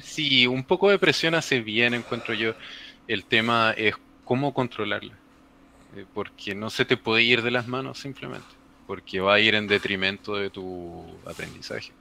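A man's voice: on the low side, normal speed, gravelly, pushed and bright, slight background noise. A man with a Chilean Spanish accent joking and teasing as a podcast guest. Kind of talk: casual podcast chat.

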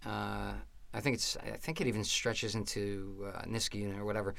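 A middle-aged man from New York State, a stand-up comedian chatting in an interview in a rambling, halting way with many restarts. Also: fairly low pitch, steady tone, gravelly, fairly bright tone, dry recording. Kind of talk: casual interview chat.

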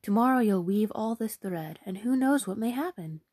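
A brisk, hearty older queen , played by a woman reading a fairy tale, who speaks up loudly and raises her pitch with emphasis. Underneath sits a dark, slightly husky voice, recorded close and dry. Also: no echo, lightly acted. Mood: delighted. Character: brisk, delighted older queen, fond of hard work.

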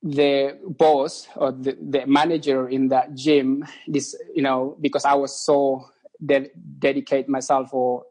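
A young man from East Timor, a guest on an interview, speaking as an in halting phrases with many restarts. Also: mid-range voice, little pitch movement, a little mellow, poor audio. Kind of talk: casual interview.

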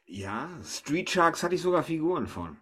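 A man's voice: on the high side, very animated, moderate pace, a bit bright, husky. A man hosting a podcast, chatting casually and informally about pop culture with his co-hosts. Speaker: podcast host.

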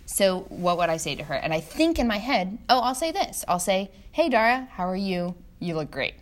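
A woman's voice: mid-range voice, sing-song, moderate pace. A woman co-hosting a podcast in casual, rambling chat, laughing often. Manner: chatty, giggly, rambling. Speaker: podcast co-host.

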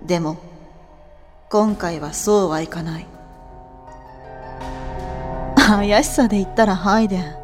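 A woman's voice: medium pitch, moderate pace, music in the background, close to an everyday voice. A woman playing a proud, shrewd noble elder sister in a voice drama, haughty, theatrical and formal. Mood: accusing. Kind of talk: voice drama acting.

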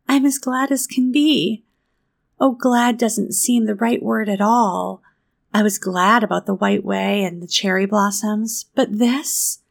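A woman's voice: medium pitch, creaky, voice pushed up, full character voice. A woman voicing an eager, talkative eleven-year-old orphan girl, earnest and pleading. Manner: earnest, pleading, breathless with feeling.

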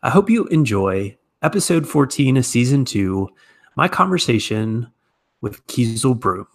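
A man's voice: medium pitch, very animated, a little slow, slight background noise. A man with an American accent, speaking as a podcast host and interviewer. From United States. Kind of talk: podcast introduction and conversational interview.

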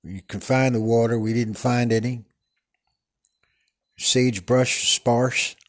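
A man's voice: on the low side, darkish tone. A man playing a rambling older Western TV actor who speaks with a Texan accent and drifts off into digressions, stumbling and repeating his words. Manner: meandering, digressive storytelling with many stumbles. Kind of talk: improvised comedic character monologue. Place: studio.

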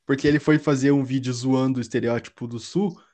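A woman's voice: a bass voice, steady tone, brisk, dark tone. A young woman with a southern Brazilian accent from Curitiba, chatting casually in a slangy, anecdotal way. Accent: Curitiba, southern Brazilian Portuguese. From Curitiba, Paraná, Brazil. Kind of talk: casual chat.

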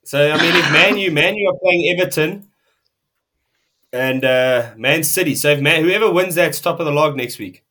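A man's voice: a little high, moderate pace, pushed and bright. A man co-hosting a football podcast, teasing the others and swearing casually. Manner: teasing, swears, laughs often. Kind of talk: casual banter.

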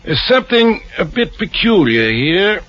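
An actor playing a mild, deliberate country doctor in a 1940s radio drama, shrewd and unhurried. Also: male voice, high-pitched, very animated. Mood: mildly insistent. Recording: muffled old radio recording.

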